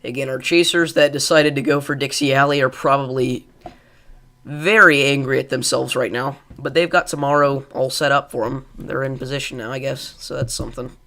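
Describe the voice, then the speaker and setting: A young man with a stuffed-up, congested voice and a gravelly edge, hosting a live weather stream. He rambles casually with fillers, thanking viewers between forecast updates. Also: mid-range voice, steady tone, normal speed, pushed and bright. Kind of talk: live weather coverage, reading chat.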